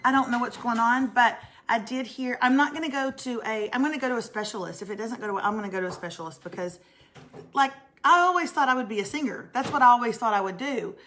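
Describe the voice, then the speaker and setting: A middle-aged woman with a raspy voice, co-hosting a casual morning chat and clearing her throat often. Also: a little high, quick pace, fairly bright tone, a little hiss or hum. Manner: chatty, self-mocking, frequent throat clearing. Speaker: co-host.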